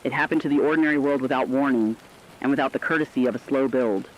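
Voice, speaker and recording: A man playing a somber, deliberate radio narrator of a collapsing world, delivering scripted dramatic documentary in short emphatic phrases. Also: mid-range voice, lightly acted. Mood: grave, reflective. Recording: dull lo-fi radio with hiss, rain.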